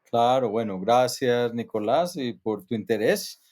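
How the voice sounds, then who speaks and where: A man's voice: medium pitch, measured pace, a little mellow. A man from near Medellín with a Colombian accent, a guest telling his life story in a thoughtful way with many hesitations. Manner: thoughtful, frequent hesitations and restarts. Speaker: guest, psychotherapist and actor. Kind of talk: reflective personal storytelling.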